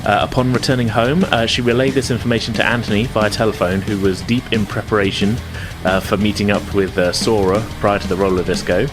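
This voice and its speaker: Low-pitched British man hosting a tabletop game. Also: steady tone, a little fast, over music. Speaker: podcast host and game master. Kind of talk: casual spoken recap and narration for a tabletop game.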